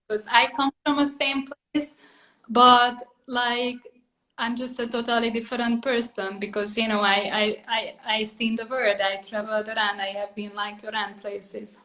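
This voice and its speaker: Hungarian-accented woman, higher even-toned voice. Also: normal speed, rough recording. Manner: rambling, full of "like" and "you know". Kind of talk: casual personal conversation.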